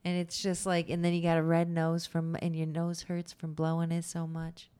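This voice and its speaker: A woman's voice: lowish voice, completely flat delivery, moderate pace, warm tone, smooth. A woman co-hosting a podcast in casual chat, with a soothing voice and a self-deprecating, laughing manner. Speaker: podcast co-host.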